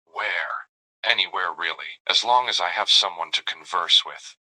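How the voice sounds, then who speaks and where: Synthetic man voicing a doting AI companion, deep and bright. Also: moderate pace, heavily acted, low-quality recording. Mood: tender. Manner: narrates his own gestures in the first person, calls the listener darling. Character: doting flirty male AI companion, gentle and courteous. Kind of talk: chatbot roleplay reply.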